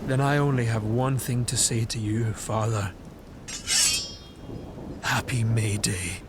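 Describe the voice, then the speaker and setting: A man playing a bitter, scornful young prince bent on vengeance, speaking in a cold, deliberate, mocking way in an audio drama. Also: mid-range voice, steady tone, heavy background noise, close to an everyday voice. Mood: cold menace. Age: young adult.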